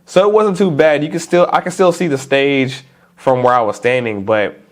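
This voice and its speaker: A man with a stuffy nose, talking casually to camera as a vlogger in a relaxed, chatty, informal way. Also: mid-range voice, moderate pace.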